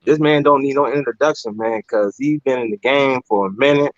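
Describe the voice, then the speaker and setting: A man's voice: medium pitch, brisk, no echo, muffled recording. A man hosting a casual podcast, talking in an upbeat, hyped way, calling people "man" and "bro". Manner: hype, upbeat, calls everyone "man" and "bro". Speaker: podcast host.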